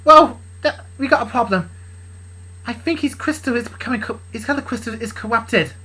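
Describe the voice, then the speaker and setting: An anxious young woman, voiced by a woman hurries through her warning. The voice sits high and bright, with a breathy, husky grain. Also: low-quality recording. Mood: worried. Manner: hurried, worried. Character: urgent young woman bringing bad news.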